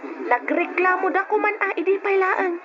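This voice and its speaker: Casting: brisk, feisty market seller, very high voice, Ilocano accent, dull old radio sound. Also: female voice, crowd noise. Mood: feisty, hawking. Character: feisty, brisk female rice vendor at market. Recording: muffled, noisy old radio drama recording.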